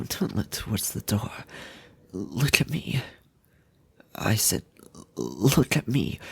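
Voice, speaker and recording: A man voicing an insane, doting, sadistic kidnapper in an ASMR roleplay, husky and often whispering, with halting, sweetly menacing delivery. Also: medium pitch, no room sound, full character voice. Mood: commanding, menacing.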